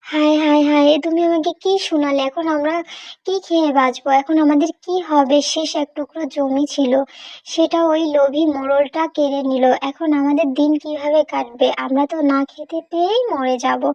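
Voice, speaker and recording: A woman's voice: high-pitched, fairly even intonation, moderate pace, a little mellow, no room sound. A woman playing a poor farmer's anguished, fearful wife, lamenting and wailing in a melodramatic cartoon. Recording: dull lo-fi cartoon audio.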